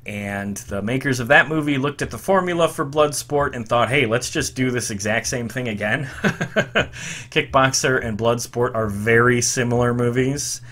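Brief: male podcast host, 30s, bright and forceful tone, eager fan energy, home setup. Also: mid-range voice, normal speed. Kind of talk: enthusiastic retro music commentary. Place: home room with shelves.

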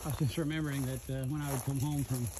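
A man's voice: medium pitch, steady tone, fast. Laid-back man chatting on a forest hike. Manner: easygoing, reminiscing, narrates the trail. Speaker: vlogger. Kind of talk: casual chat on a hike. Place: outdoors on a wooded trail. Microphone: phone camera held while walking. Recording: clear outdoor noise.

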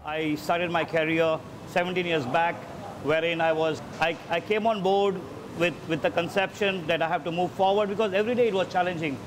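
A man's voice: fairly high pitch, steady tone, brisk, background noise. A man, an executive chef, walking through and explaining his kitchen in a casual way, often repeating words as he goes. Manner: repeats words while gathering thoughts. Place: ship galley kitchen.

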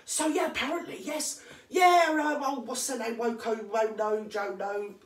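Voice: fairly even intonation, a little slow. Gossipy London voice in echoey room. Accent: London English. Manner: gossipy, conspiratorial, answering own questions; drops to hushed asides, jumps to emphatic high yeses. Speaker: gossip vlogger. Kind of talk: comic celebrity gossip monologue. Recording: echoey room, voice far from mic.

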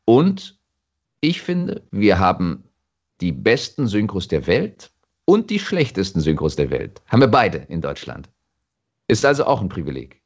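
A man with a German accent talking reflectively as an interview guest, thoughtful and halting as he restarts his phrases. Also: low voice, big pitch swings.

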